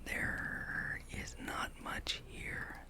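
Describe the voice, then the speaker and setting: A breathy whisper from a gaming ASMR host, with no voiced tone at all. The delivery is an unhurried ramble, musing aloud over gameplay.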